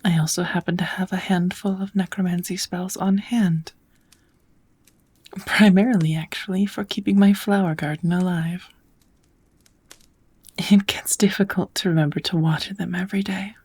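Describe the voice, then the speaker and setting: A woman voicing a kind, knowledgeable witch who gently teaches magic in a measured, whispered ASMR roleplay. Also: on the low side, steady tone. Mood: kind, patient. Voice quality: soft, breathy whispering.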